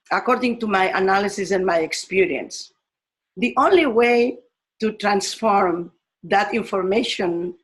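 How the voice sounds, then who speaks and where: Slow-speaking older Spanish-accented woman teacher. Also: on the high side, fairly bright tone. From Spain. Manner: slow and deliberate, with fillers and restarts. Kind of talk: explanatory talk on education.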